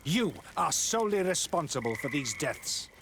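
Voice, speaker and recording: An actor playing a self-righteous, scheming priest in a video game cutscene, with haughty, impassioned declaiming. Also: male voice, fairly high pitch, very animated, normal speed, background noise. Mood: scornful.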